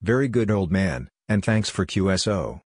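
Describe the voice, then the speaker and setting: A man reading out short scripted phrases for a practice drill in a measured, clipped way. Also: deep voice, lively intonation. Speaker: narrator of Morse code practice drills. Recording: clear background noise with clicks.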